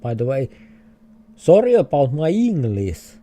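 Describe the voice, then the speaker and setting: A man's voice: mid-range voice, big pitch swings, measured pace, warm tone. A man with a Finnish accent, streaming a geography game and thinking aloud in hesitant, chuckling English. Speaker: livestreamer. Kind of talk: casual game livestream commentary.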